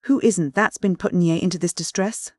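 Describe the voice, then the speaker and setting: A man voicing a rough, earnest shepherd with a husky Northern English dialect, blunt and stubborn. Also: high voice, brisk, darkish tone, no room sound, clean studio sound, pitched above the performer's own voice. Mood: harsh. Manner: blunt, pleading, dialect-heavy.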